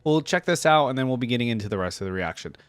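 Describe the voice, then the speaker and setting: A man's voice: mid-range voice, lively intonation, rapid-fire, clear, resonant tone, no room sound. A man giving casual gaming reaction commentary, rambling and easily impressed as he thinks aloud. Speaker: reaction video host. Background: faint music.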